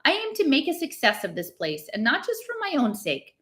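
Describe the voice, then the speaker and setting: A bright, forceful voice for a harried storybook innkeeper acted out by a woman. He is a husband and father who grumbles, protests and defends himself, irritable with everyone around him. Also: high voice, huge pitch swings, fairly quick, pitched above the performer's own voice, full character voice. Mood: indignant. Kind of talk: expressive storybook read-aloud.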